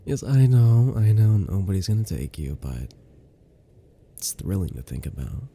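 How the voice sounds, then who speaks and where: A man performs an affectionate, teasing boyfriend in an intimate ASMR roleplay. His low voice has a gravelly creak and a soft, unhurried, playful delivery. Faint noise sits behind him. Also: big pitch swings, exaggerated voice. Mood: tender.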